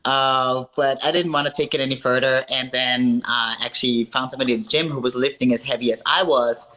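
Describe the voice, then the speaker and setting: A woman in her thirties from Austria, a strongwoman athlete telling her story with earnest upbeat warmth in a casual call interview. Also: very deep voice, steady tone, a little fast.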